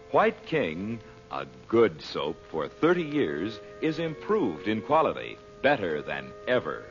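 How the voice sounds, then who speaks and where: An American man announcing in a formal, emphatic, scripted old-time radio style with dramatic delivery. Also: lowish voice. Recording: muffled old radio broadcast recording. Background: music.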